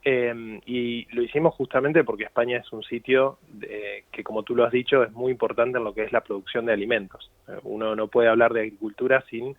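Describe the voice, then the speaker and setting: An Argentine man with an Argentine accent, giving measured, explanatory answers as a guest in a radio interview. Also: medium pitch, fairly even intonation, no room sound. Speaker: guest, company CEO. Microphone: phone. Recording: tinny phone line.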